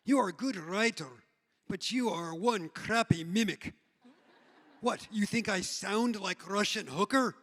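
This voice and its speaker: A man's voice: high voice, a little slow, fairly bright tone, exaggerated voice. A man mimicking a scolding woman journalist with a heavy foreign-sounding accent, in a mocking, indignant manner. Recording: long hall reverb.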